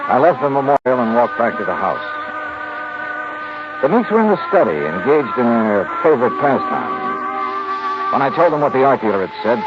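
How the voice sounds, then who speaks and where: Muffled old radio drama with music: a wry, tough American detective, expressive delivery. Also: male voice, lowish voice. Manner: clipped, hard-boiled. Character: tough, wry male insurance investigator narrating his case. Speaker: radio actor in the lead role. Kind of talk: 1950s radio detective drama acting and narration.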